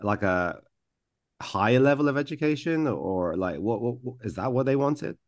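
A man's voice: medium pitch, animated, normal speed, dry recording, dull audio. A man hosting a podcast interview, asking loose questions padded with many fillers. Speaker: podcast host. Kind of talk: casual interview chat.

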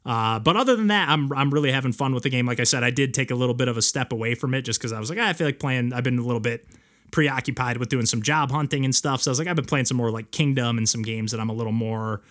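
A man's voice: medium pitch, fast talker, fairly bright tone. Rambling man in casual podcast chat. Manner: rambling, frequent "like". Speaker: podcast host. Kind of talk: casual chat about video games.

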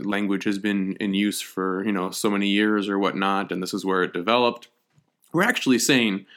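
A man's voice: fairly low pitch, a bit bright. A man hosting a podcast, talking through a topic in a conversational, lecture-like way with frequent fillers and restarts. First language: English. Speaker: podcast host. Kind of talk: casual lecture-style podcast monologue.

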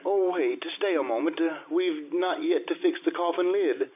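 An actor playing a morbid, tormented aristocrat slipping into madness, brooding and intense in an old-time radio horror style. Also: male voice, on the high side, moderate pace, slightly rough. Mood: morbid tenderness. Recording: muffled, band-limited radio recording.

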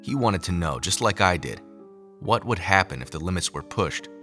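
A man playing a haunted lab scientist, narrating a scripted audio drama in a measured, brooding way. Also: low-pitched, expressive, a bit bright. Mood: brooding, grave. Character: haunted male lab scientist recounting secret experiments. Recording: music under the voice. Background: music.

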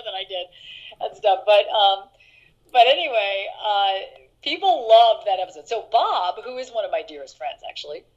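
A middle-aged actress telling stories in an interview, chatty and laughing, with many fillers and restarts. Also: female voice, highs cut off. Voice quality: deeper voice. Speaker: actress guest. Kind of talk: casual interview anecdotes.

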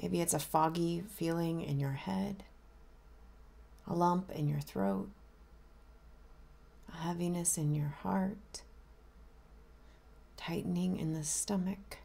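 A woman minister leading a guided meditation in a gentle, unhurried, inviting way. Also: lowish voice, steady tone, creaky.

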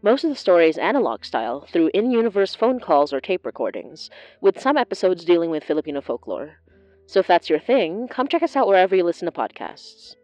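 A woman's voice: lowish voice, darkish tone, no echo. A woman podcast creator giving a scripted promo for a show.

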